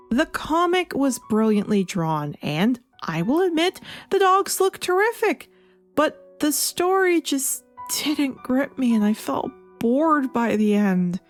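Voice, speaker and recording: A woman's voice: sits high, big pitch swings, unhurried, faint music behind. A woman narrating a scripted video review as a critic, speaking in an emphatic, theatrical manner. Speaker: comic reviewer.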